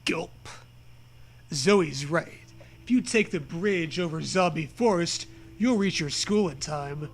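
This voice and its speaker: A man's voice: a little high, slow. A man co-hosting a comedic read-aloud, narrating in a mock-dramatic, jokey way with casual banter. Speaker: co-host reading a comedic story aloud.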